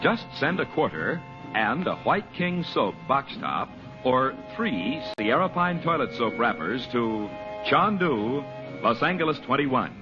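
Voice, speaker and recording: A man pitching a mail-in offer as a scripted 1940s radio announcer and showman. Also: big pitch swings, a little slow. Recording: dull vintage radio recording. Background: loud music.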